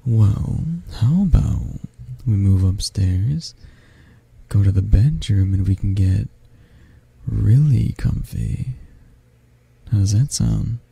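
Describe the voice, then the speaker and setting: A man acts out a tall, affectionate half-dragon guy in an ASMR roleplay. His low voice is mellow and husky, right up close and dry. He takes his time, teasing and flirting gently. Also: no echo, heavily acted. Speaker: roleplay voice actor.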